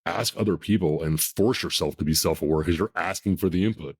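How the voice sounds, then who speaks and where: A man in his fifties with a deep voice, hosting a podcast in a blunt, emphatic, self-assured way. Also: a little fast, breathy edge. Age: 50s. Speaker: podcast host. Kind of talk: casual podcast conversation.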